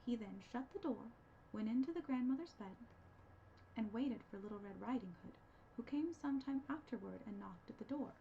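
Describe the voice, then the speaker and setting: A woman reading scripted storybook narration as a librarian storyteller, with an expressive delivery. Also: a little high, moderate pace, vocal fry. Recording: dull, slightly noisy recording.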